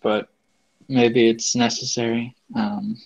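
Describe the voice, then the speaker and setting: Calm man leading an English class. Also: medium pitch, takes it slowly, creaky, muffled recording. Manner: calm, asks questions, short acknowledgements. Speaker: English teacher leading the group.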